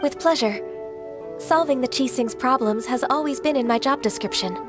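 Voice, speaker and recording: An actress playing a modest, dutiful young secretary who speaks in a polite, composed, measured way. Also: female voice, sits high, music in the background, lightly acted.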